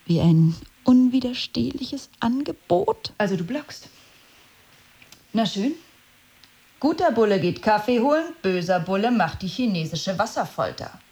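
An actress playing a wry, needy blocked novelist in an intimate, wheedling radio play monologue. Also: female voice, medium pitch, animated, measured pace, pauses often, a little mellow, husky. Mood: quietly menacing. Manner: intimate, wheedling, often dropping to a whisper. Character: blocked female novelist coaxing her absent muse, wry and needy.

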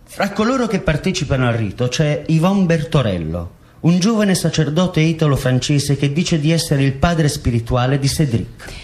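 A male actor narrates a scripted documentary play. His voice is slightly high, with widely varied intonation. The delivery is formal and declamatory. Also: sing-song, moderate pace, darkish tone.

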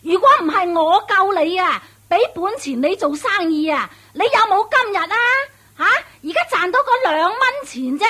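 A woman's voice: very high-pitched, expressive, bright, forceful tone, full character voice. A woman playing a shrewish, domineering and vengeful witch in a radio drama, nagging and taunting in Hong Kong Cantonese. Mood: indignant. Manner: nagging, taunting, drawn-out emphatic delivery. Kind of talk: theatrical radio drama acting.

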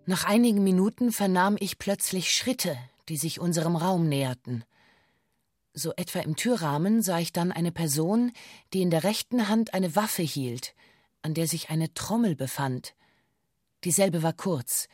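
A bank clerk woman giving a stiff exact witness statement, radio play, lowish voice, very dry sound. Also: lively intonation, measured pace, a little mellow, no room sound. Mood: sober, factual. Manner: formal, matter-of-fact, precise. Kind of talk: scripted radio play monologue in stiff police-statement language.

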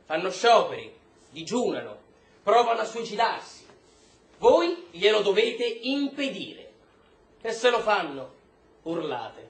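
An actor playing a brutal, foul-mouthed military officer, barking contemptuous orders in a stage drama. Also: male voice, high-pitched, big pitch swings, takes it slowly, long pauses, full character voice. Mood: menacing, scornful. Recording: roomy, echoing stage space.

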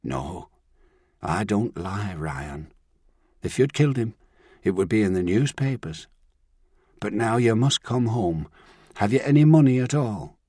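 A man reading an audiobook, voicing a calm, composed older woman and grandmother who talks in a measured, reassuring way. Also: on the low side, husky, no room sound, studio quality. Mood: calm, firm.

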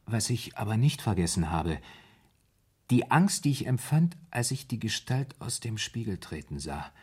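An actor in a 1970s German radio play, playing a troubled, brooding man confessing his fear of madness in halting, dramatic storytelling. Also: on the low side, very animated, a little mellow, breathy edge. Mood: haunted, fearful.

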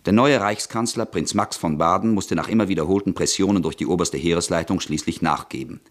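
Deep-voiced male radio narrator. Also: fairly quick. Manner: even, documentary tone. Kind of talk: scripted radio play narration.

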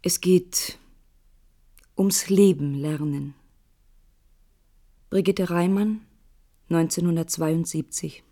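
A woman giving a measured literary reading for a radio play. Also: lowish voice, steady tone, dark tone. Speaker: reader.

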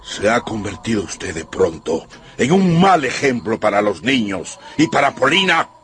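An actor playing a stern, lecturing general and head of a family in a radio drama, authoritative and reproving. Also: male voice, lowish voice, very animated, normal speed, a bit bright. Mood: stern reproof. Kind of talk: Spanish-language radio drama acting.

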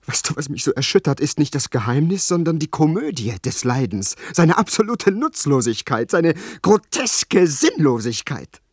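An actor playing an anguished, brooding young lord, breathy and melodramatic, in a radio play. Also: male voice, fairly high pitch, sing-song, moderate pace, few pauses, no room sound, clean studio sound. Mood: bitter, brooding. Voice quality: breathy, hushed. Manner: haunted, melodramatic. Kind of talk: German radio play acting.

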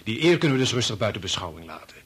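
An actor playing an eager, agreeable bachelor researcher in a Dutch radio play. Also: male voice, on the low side, big pitch swings, very fast, a bit bright, slightly rough, full character voice. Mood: earnest. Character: easygoing unmarried male researcher, eager and agreeable.

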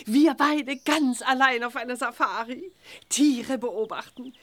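A woman playing a kindly, reasonable witch mother in a children's audio drama, gentle and coaxing. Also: sits high, unhurried. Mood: delighted, dreamy.

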